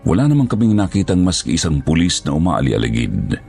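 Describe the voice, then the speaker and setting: A man's voice: deep voice, big pitch swings, fairly quick, creaky, a little mellow, soft background music. A man reading scripted first-person narration of a horror story.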